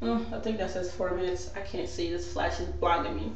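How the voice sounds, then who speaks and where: A woman's voice: lowish voice, brisk, slight background noise. Sarcastic young woman vlogging in an echoey room. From West Africa. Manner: sarcastic, animated, lots of "like". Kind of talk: casual to-camera rant. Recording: very echoey, distant, dull lo-fi sound.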